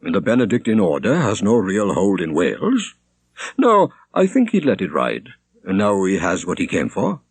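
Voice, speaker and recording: Guy reading as a wise older monk sleuth, wry and thoughtful, husky, voice moves around a lot. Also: sits low, huge pitch swings, moderate pace, no room sound, heavily acted. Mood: shrewd, pondering. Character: shrewd, kindly older male monk and sleuth. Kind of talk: audiobook character reading.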